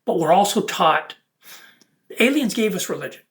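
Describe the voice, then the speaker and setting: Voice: medium pitch, animated, moderate pace. A speaker of unclear sex delivering a rambling spiritual monologue as a video host, in an earnest, preachy and fragmented way.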